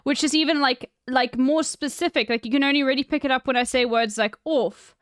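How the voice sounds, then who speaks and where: A woman's voice: sits high, brisk, a bit bright, smooth, no echo, studio quality. A woman with an English South African accent, chatting bluntly and swearing as she answers her live-stream chat. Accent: English-speaking South African.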